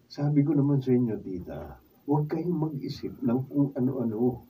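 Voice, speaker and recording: A man's voice: mid-range voice, lively intonation, moderate pace, dull audio, slight background noise, close to an everyday voice. A man playing a reassuring but evasive doctor nephew in a Filipino radio drama, with a Filipino accent. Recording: distant mic.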